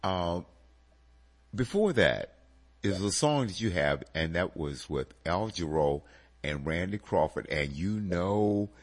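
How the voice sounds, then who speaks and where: A man with a stuffy, slightly nasal voice hosts a relaxed music radio chat. His pitch swings up and down, he speaks slowly, and his tone is a little husky. He talks straight to his listeners. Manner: animated, addresses listeners as folks. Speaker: radio show host.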